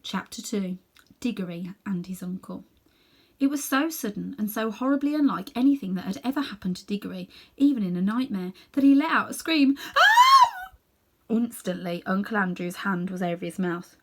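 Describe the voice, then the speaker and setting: A woman reading a children's novel aloud as an expressive storyteller. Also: mid-range voice, fairly quick. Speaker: storybook reader.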